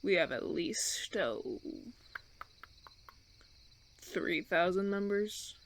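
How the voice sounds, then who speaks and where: A man playing a suave, condescending vampire colony leader in a roleplay audio drama, theatrical and teasing, with drawn-out phrasing. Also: a little high, vocal fry, bright, forceful tone, full character voice. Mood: proud, grandiose.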